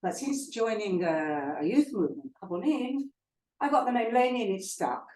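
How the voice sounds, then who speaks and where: An older woman from London with a softened London accent, giving a chatty talk to an audience with many fillers and restarts. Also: mid-range voice, expressive, moderate pace. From England. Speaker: guest speaker. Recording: echoey hall, heard from far off.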